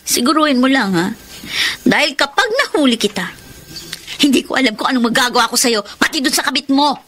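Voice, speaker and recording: An actress playing a jealous, suspicious and threatening wife in a Filipino romantic radio drama. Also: female voice, high-pitched, expressive, fairly quick, fairly bright tone, a little hiss or hum.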